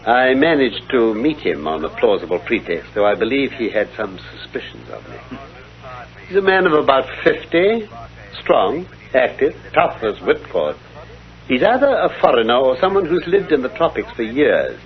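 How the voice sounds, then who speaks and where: A man playing a self-assured British gentleman detective in a vintage radio drama, speaking in precise, formal explanations. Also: mid-range voice, sing-song, normal speed, lightly acted. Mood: intent, confiding. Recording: dull, lo-fi vintage radio recording.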